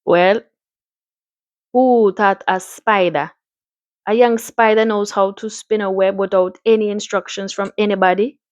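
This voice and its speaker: A woman voicing an old male village doctor with a Caribbean accent, unhurried and wryly questioning in a storybook reading. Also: mid-range voice, fairly even intonation, no echo, highs cut off, lightly acted.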